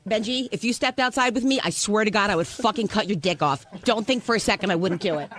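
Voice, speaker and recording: A woman's voice: medium pitch, fast, crowd noise. A woman in a heated argument, speaking in a blunt, confrontational way with plenty of profanity. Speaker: show staff member.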